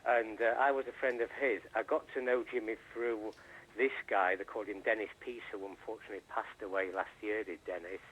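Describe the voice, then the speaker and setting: An older man reminiscing in a remote news interview, speaking in a halting, rambling way over the line. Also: lowish voice, fairly even intonation, fairly bright tone, slight background noise, poor audio. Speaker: interviewee, friend giving tribute. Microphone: phone line or remote link.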